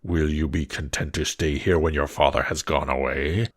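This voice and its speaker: Man voicing a grave, commanding storybook beast, very low and rough, husky. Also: fairly even intonation, moderate pace, dry recording, studio quality.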